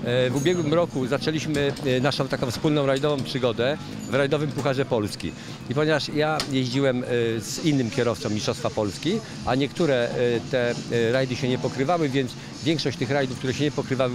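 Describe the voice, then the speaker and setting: An older man with a mid-range voice speaks slowly and thoughtfully, pausing on fillers as he searches for words. He answers into a handheld mic outdoors under a service-area canopy, with plain paddock noise behind him. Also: medium pitch. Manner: measured, hesitant with fillers. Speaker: rally co-driver. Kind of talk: post-stage interview. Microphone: handheld reporter mic. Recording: noisy outdoor rally paddock.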